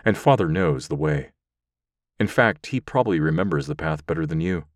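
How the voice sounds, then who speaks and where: A stern winged hunter played by a man speaks in an extremely deep, dry voice. He is a protective older brother in a fantasy audiobook, firm and brooding. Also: expressive, normal speed, no room sound.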